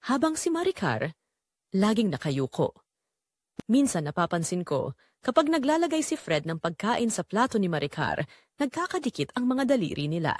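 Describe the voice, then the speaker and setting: Filipino woman narrating drama, expressive swings in pitch. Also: no room sound, studio quality. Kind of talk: scripted first-person dramatic narration.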